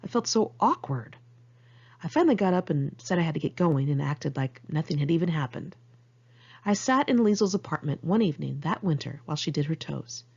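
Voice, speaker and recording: A woman reads her personal memoir aloud in a candid, conversational style. Her voice sits a little low, with plenty of gravelly fry at the edges. The homemade recording sounds slightly dull and lo-fi. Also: animated, a little fast, darkish tone. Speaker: author reading own memoir. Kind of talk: audiobook narration of a personal memoir, read in one take. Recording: muffled lo-fi amateur recording.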